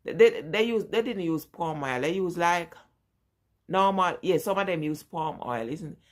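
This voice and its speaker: A Jamaican woman in her forties talks straight to her viewers in a relaxed live-stream chat. Her voice sits a little low, and she drives her points home by repeating them with emphasis. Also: moderate pace. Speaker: vlogger.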